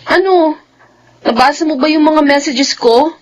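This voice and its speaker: A woman's voice: sits high, unhurried, poor audio. An actress playing a distraught pregnant young student in a radio drama, pleading and fearful, with music behind her. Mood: worried, reproachful. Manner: tearful, pleading.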